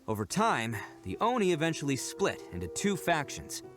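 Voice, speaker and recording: A man's voice: on the high side, wildly swooping pitch, moderate pace, over music. A man playing a proud, brash, earnest young oni gang leader in a video game, speaking emphatically. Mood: earnest storytelling. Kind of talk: scripted video game voice acting.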